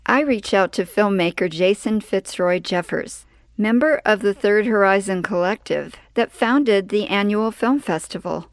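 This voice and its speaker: A woman's voice: mid-range voice, measured pace, dry recording. A woman radio interviewer reading a scripted intro and asking appreciative questions.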